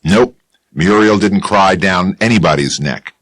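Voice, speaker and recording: A man voicing a blunt, combative middle-aged caretaker with a rough, growling edge in an audiobook. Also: sits low, unhurried, fairly bright tone, no echo, voice pushed up. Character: gruff, hard-handed middle-aged caretaker. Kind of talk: audiobook character voice.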